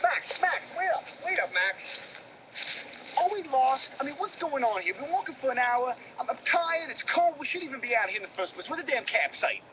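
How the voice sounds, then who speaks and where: A man's voice: very high voice, big pitch swings, brisk, lo-fi sound, noisy background. An actor playing a tired, anxious man lost on a camping trip, who complains as he talks. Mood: whiny. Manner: whiny, anxious. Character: tired, nervous out-of-towner, whiny.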